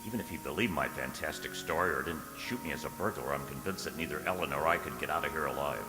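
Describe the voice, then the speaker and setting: A man acting as an anxious, romantic captive in a radio play. His very deep voice has a dramatic diary-like delivery. It resounds in an echoey hall, with music behind. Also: quick pace. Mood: brooding, anxious. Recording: echoey hall, long reverb.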